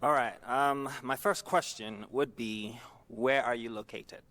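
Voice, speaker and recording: A man's voice: mid-range voice, fairly even intonation, measured pace, a bit bright. A man playing bachelor on a blind-date show, joking around as he asks his questions. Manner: playful, joking. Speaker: bachelor contestant. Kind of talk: casual game-show talk.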